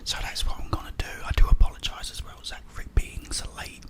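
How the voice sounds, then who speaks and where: A man whispering breathily in a hushed, unhurried ASMR ramble while eating. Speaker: ASMR video creator.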